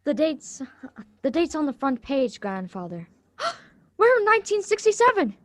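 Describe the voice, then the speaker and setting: A woman's voice: high voice, huge pitch swings, measured pace, fairly bright tone, no room sound, heavily acted. A woman playing an eager, polite schoolgirl granddaughter in a script reading of a radio drama, earnest and sometimes stumbling over lines. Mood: excited, curious.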